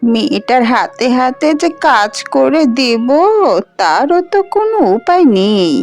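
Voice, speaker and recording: A woman voicing a bedridden, despairing mother who laments in an animated fairy tale. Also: fairly high pitch, measured pace, faint music behind. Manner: plaintive, lamenting.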